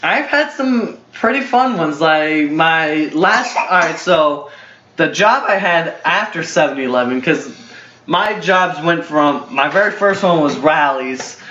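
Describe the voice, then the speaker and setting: A man's voice: on the high side, expressive, slow pace, sharp tone. A young man in his twenties telling a casual story to a friend, rambling and full of fillers and self-corrections. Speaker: storyteller host. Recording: roomy, dull lo-fi home recording.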